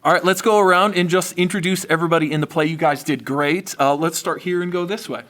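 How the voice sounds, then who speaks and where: A man's voice: a little high, fairly even intonation, fast, a bit bright. A male teacher informally announcing to his students in a casual, encouraging way. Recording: crowd and faint noise behind. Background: students in a school.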